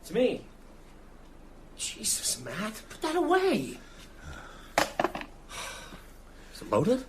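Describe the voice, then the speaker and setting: A man's voice: high-pitched, wildly swooping pitch, slow, heavy background noise, full character voice. An actor playing a sceptical, concerned friend in an audio drama, stammering with disbelief as he tries to reason. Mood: startled, wary. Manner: hesitant, stammering, incredulous.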